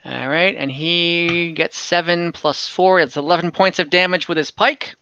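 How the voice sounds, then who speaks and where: Bright-voiced game master, slightly dull audio. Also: medium pitch, steady tone, a little slow, a little hiss or hum. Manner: informal, thinks out loud while tallying dice. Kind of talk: narrating a tabletop role-playing game. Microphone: online group call.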